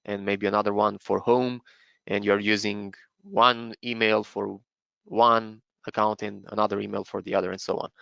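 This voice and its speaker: Man, gravelly, bright crisp tone, dry close sound, slightly dull audio, webinar demo. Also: fairly low pitch, no room sound. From Romania. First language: Romanian. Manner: explanatory, with frequent fillers and restarts. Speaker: software presenter. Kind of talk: live webinar product demo.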